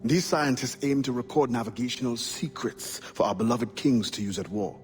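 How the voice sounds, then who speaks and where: An actor playing a determined, commanding freedom fighter in a video game, terse, forceful and formal in his speech. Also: mid-range voice, moderate pace, soft background music, lightly acted. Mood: impassioned. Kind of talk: dramatic game cutscene acting. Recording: clearly noisy game ambience behind.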